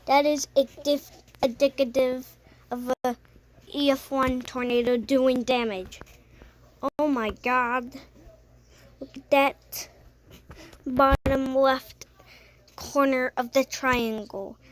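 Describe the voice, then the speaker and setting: An amateur announcer with a high voice play-acting a TV weather emergency broadcast, speaking haltingly with stumbles and self-corrections. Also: little pitch movement.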